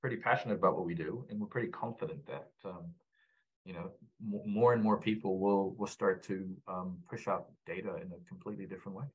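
Earnest low-voiced man with a husky tone. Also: fairly quick, dull audio. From Egyptian background, raised abroad. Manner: earnest, repeats points, many restarts. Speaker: guest, founder of a data platform. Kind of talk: conversational podcast interview.

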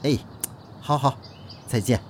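A man's voice: mid-range voice, slow pace, noisy background, close to an everyday voice. A man acting in an audio drama as a chatty, exasperated but devoted celebrity agent, colloquial and wheedling. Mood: polite, placating.

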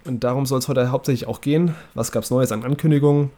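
A young adult man with a head cold, hosting a casual podcast chat in a relaxed, conversational way. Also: mid-range voice, quick pace. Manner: relaxed, conversational, with fillers and restarts. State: congested from a cold. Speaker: podcast host.

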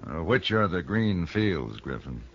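A dull, vintage radio recording of a steely American gunfighter for hire. His voice is low with wide, dramatic swings in pitch, and he speaks in measured, terse, firm phrases in the manner of an old Western serial. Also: big pitch swings, a bit bright, slight background noise, exaggerated voice. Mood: quietly stern. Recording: muffled vintage radio broadcast.

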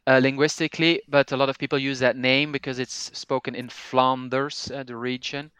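A Flemish-accented man, a native Dutch speaker, talks English in an interview with a clean, resonant tone. The sound is close and dry, with no room in it. He explains at length and pauses to think as he goes. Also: medium pitch, level tone, a bit bright, smooth, no echo. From Belgium. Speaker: language teacher and podcaster, guest. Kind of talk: conversational interview.

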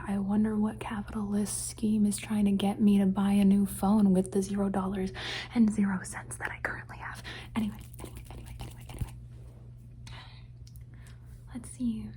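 Whispering woman, mellow and rambling. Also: medium pitch, a little hiss or hum. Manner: rambling, repeats words softly as triggers. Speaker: ASMR creator. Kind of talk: whispered ASMR Q&A. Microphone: phone.

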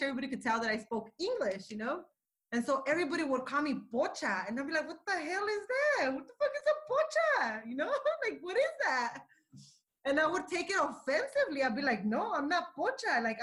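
Candid bright-voiced bilingual woman. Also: high-pitched. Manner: candid, emphatic, mixes Spanish into English. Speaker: guest sharing her view. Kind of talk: recorded personal testimony. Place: Tijuana.

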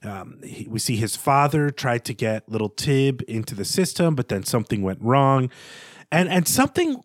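Thoughtful mid-pitched man discussing literature. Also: very animated, moderate pace, no room sound, studio quality. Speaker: podcast co-host.

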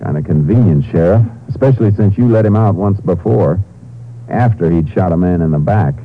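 An actor playing a tough, dry Texas Ranger with a clipped, firm delivery in a 1950s radio crime drama. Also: male voice, low-pitched, fairly quick, natural voice. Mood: skeptical. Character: tough, dry male Texas Ranger, unyielding lawman and narrator. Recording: noisy 1950s radio, narrow thin sound.

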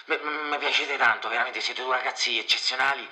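A man playing a spaced-out, very relaxed young male radio fan in a comedy call-in sketch, drawling and repeating himself. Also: medium pitch, quick pace. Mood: dreamy. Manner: drawling, repetitive, swears casually. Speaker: caller.

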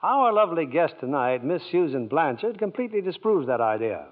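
A man's voice: a little high, big pitch swings, a little fast. A man hosting a 1940s radio show, with scripted announcing and interviewing. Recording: tinny old radio broadcast.

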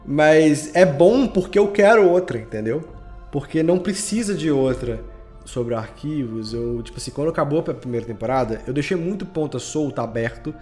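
Higher-voiced Brazilian man chatting over music. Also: a little mellow. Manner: informal, slangy. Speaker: podcast creator being interviewed. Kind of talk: casual chat.

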